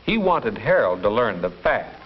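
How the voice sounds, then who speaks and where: An earnest male schoolteacher narrates a 1950s educational film with formal, measured phrasing and very lively intonation. Music plays prominently behind him on a dull, old soundtrack. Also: lowish voice. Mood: satisfied.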